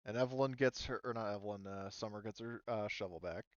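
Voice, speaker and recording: A man's voice: lowish voice, fast, no room sound, lo-fi sound. A man running a tabletop game as game master, explaining rules and thinking aloud in a casual way.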